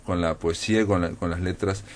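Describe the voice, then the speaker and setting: Radio guy, lowish voice, lots of "este" and restarts, chatty interview host. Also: fairly even intonation, a little fast, a little hiss or hum. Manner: rambling, many fillers and self-corrections.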